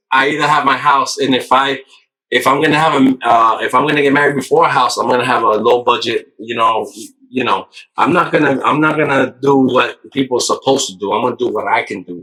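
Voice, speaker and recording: A man's voice: on the low side, bright, forceful tone, slightly rough, low-quality recording. A man in his thirties talking casually on a podcast, rambling through his life story with lots of "you know.". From United States. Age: 30s. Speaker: podcast guest.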